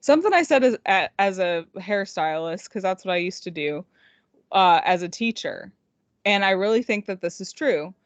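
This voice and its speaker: A woman's voice: mid-range voice, lively intonation, normal speed, no room sound. A woman chatting casually as a podcast co-host and indie author, candid and self-deprecating. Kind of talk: casual chat.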